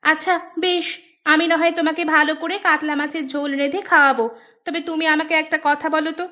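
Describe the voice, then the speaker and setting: A sharp-tongued cartoon village wife, acted by a woman, with a high, bright, cutting voice. She schemes and scolds in an exasperated, emphatic way. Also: flat delivery, fast talker. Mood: curious, questioning. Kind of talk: Bengali cartoon voice acting.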